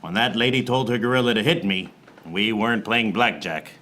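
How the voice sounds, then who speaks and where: An actor playing a wry, beleaguered private eye, narrating a noir radio play in a deadpan manner. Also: male voice, fairly low pitch, a little fast, slight background noise. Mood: wry, exasperated. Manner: deadpan, fourth-wall-breaking.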